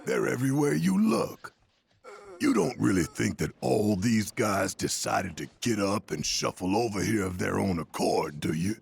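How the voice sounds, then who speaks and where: Game casting: gruff rebel protector, deep and heavily gravelled, animated, folksy, passionate. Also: male voice, moderate pace, exaggerated voice. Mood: indignant, rallying. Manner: forceful, folksy, swearing, rallying. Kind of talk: video game cutscene acting.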